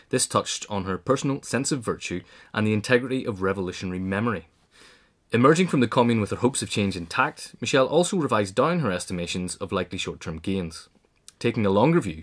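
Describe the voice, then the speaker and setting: A man reading scripted narration in a formal, articulate manner, as the narrator of a pamphlet. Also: fairly low pitch.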